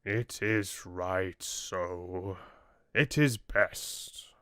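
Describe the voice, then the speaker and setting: Guy doing an ancient oak tree from a fairy tale, awestruck, grand, really slow drawn out words. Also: low-pitched, fairly bright tone, clean tone, no room sound, clean studio sound, pitched below the performer's own voice, full character voice. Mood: exalted. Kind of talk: fairy tale audiobook character.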